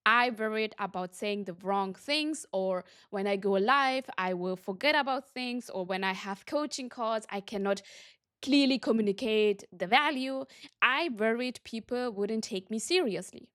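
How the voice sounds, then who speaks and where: Slow, earnest woman coach. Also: fairly high pitch, a bit bright. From Germany. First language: Tamil. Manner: earnest, emphatic, repeats for stress. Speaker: business coach. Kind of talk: motivational talk to camera.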